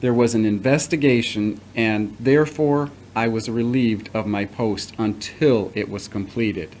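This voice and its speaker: An actor playing a patient, fatherly middle-aged priest in an audio drama, speaking in a measured, formal way. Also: lowish voice, a little mellow, lightly acted. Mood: firm, earnest. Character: returning priest, patient, paternal, earnest, formerly accused. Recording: noticeable background noise.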